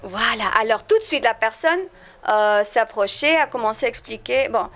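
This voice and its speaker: A woman's voice: fairly high pitch, a bit bright. An American woman, a native English speaker with an American accent, giving a personal testimony and searching for her words as she goes. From United States. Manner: halting, searches for words in French. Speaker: guest, missionary evangelist.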